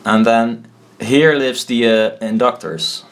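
A man giving an informal tutorial at a desk, thinking aloud in a halting, self-deprecating way. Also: medium pitch, fairly even intonation. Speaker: presenter explaining loudspeaker technology. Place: indoors at a desk.

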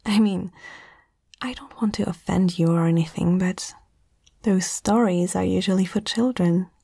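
A woman whispering softly and tenderly in a breathy voice, in a reassuring ASMR comfort roleplay, close to the microphone. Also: fairly low pitch, steady tone, moderate pace, no echo.

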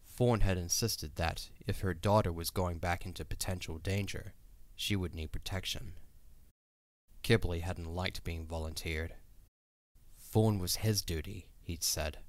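A man's voice: low voice, steady tone, unhurried, dry recording. A man reading scripted story narration for an audio drama.

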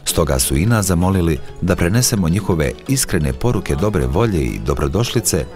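Very deep male documentary narrator. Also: lively intonation, fairly quick. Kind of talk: scripted voice-over narration. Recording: voice-over with music behind.

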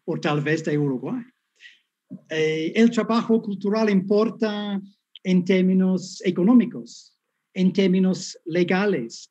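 A man's voice: high voice, dark tone, muffled recording. A man in his fifties, a native English speaker with a Spanish picked up in Mexico and coastal Colombia, giving a chatty, self-deprecating lecture over a video call. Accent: English speaker's Spanish with Mexican and Colombian street touches. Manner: self-deprecating, chatty, frequent tag questions. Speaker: guest professor.

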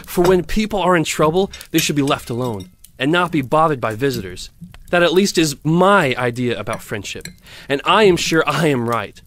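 A pompous, self-righteous miller in an audio drama. His voice sits fairly high and swings widely in pitch as he moralizes with smug satisfaction. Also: expressive, moderate pace, a bit bright. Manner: pompous, sententious. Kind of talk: theatrical audio drama acting.

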